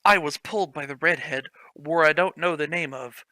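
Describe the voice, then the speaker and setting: A young man with a very bright, sharp, slightly high voice reads a fanfic aloud for laughs. He is sarcastic, swears freely and keeps breaking off to mock the writing. It sounds dry and close, with no room sound. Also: moderate pace, dry recording. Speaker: host reading a fanfic aloud. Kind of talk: comedic reading with commentary.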